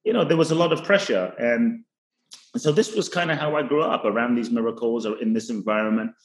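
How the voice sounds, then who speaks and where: A man's voice: mid-range voice, brisk. A man from Ghana who grew up in London, a podcast guest telling his life story earnestly, with some restarts. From Ghana, raised in London. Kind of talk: reflective storytelling. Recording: roomy echo.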